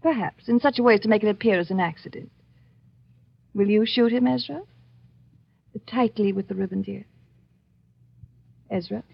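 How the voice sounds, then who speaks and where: An actress playing a gracious, coldly scheming colonial wife in an old radio drama, with a measured, coaxing, commanding manner. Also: female voice, medium pitch, gravelly, slightly rough, no room sound. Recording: dull lo-fi old radio recording.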